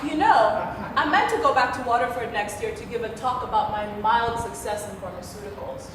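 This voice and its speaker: An actress playing a sarcastic, tipsy grown-up daughter in a stage play, with a sardonic, cutting manner. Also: female voice, fairly high pitch, brisk, close to an everyday voice. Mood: sarcastic, smug. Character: sarcastic, tipsy adult daughter of a wealthy businessman. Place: theatre stage, recorded from a distance. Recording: echoey theatre stage from far off, faint noise.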